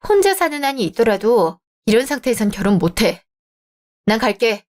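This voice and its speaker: A composed young woman in a radio drama, proud and firm, speaking in calm, measured sentences, as a woman voices her. She stays polite but does not give ground. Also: fairly high pitch. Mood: upset.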